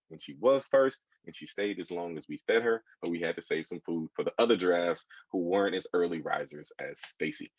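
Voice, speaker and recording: An American man, a children's book author giving an informal talk with slides in a conversational way, often putting questions to his listeners. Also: fairly low pitch. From United States. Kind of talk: informal audience presentation with slides. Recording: dull, echoey room sound.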